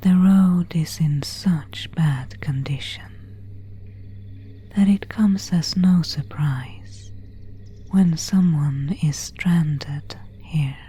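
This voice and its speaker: A woman's voice: sits low, drawn-out words, dark tone, heavily acted. A woman playing an elegant, seductive vampire of an ancient family in a whispered ASMR roleplay, speaking intimately in formal, archaic phrases. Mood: composed, eerie. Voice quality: breathy, unvoiced whisper.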